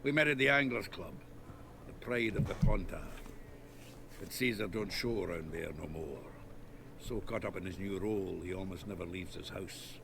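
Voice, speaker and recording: An actor playing a gruff, blunt male dwarf card dealer with a gravelly voice, in a video game. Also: sits low, moderate pace. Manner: blunt and coarse. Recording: game audio with background noise.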